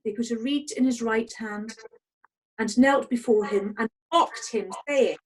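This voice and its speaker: A woman narrates a dramatic scripture reading over a video call. Her voice sits on the high side and has a clearly husky grain. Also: unhurried, poor audio. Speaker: narrator in a congregation's Passion reading.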